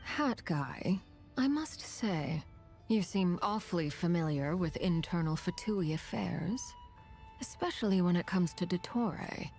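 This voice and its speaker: Low-voiced, composed formal lady. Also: lively intonation, a little mellow, soft background music. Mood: composed, probing. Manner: measured, formal. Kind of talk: anime video game dialogue.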